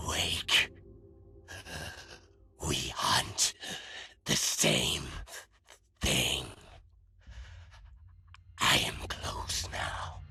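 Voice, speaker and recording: Gravelly, extremely deep evil double. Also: male voice, bright, forceful tone, slight background noise, full character voice. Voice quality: very deep gravelly rasp breaking into whispers. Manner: slow, halting, menacing. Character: menacing dark supernatural double of a writer, taunting slowly.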